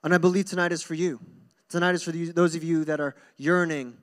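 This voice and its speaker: A man's voice: fairly high pitch, fairly even intonation, fast, clean tone. A young man preaching as a pastor in an informal, earnest, conversational way, repeating phrases for emphasis, speaking into a handheld microphone on stage. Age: young adult. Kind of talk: conversational sermon to a congregation. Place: stage in a church hall.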